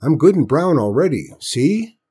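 A man's voice: big pitch swings, moderate pace, warm tone, slightly rough. A man voicing a proud, stubborn eight-year-old boy with a rural Southern US accent for a story. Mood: proud, eager. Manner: eager, earnest, raised pitch. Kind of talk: storybook character voice in narration.

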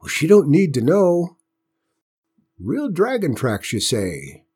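A man's voice: sing-song, normal speed, darkish tone, breathy edge. A man voicing a folksy, conspiratorial old country grandfather with a rural Southern US accent for a story. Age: older. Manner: drawling folksy dialect, pitched up from the narration. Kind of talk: storybook character voice in narration.

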